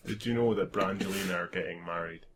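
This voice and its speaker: A man's voice: deep voice, fast, slight background noise. A man bantering casually as a comic co-host, dropping in sardonic, sweary remarks. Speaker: co-host commenting on a reading.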